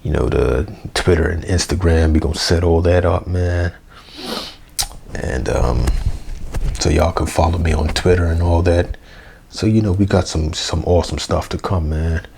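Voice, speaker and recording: A young man, hoarse, rambling through a casual unedited review vlog with lots of fillers and slang. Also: sits low, normal speed, darkish tone, a little hiss or hum. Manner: rambling, full of fillers like "you know" and "man". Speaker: wrestling review vlogger. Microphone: basic mic, plans a new one.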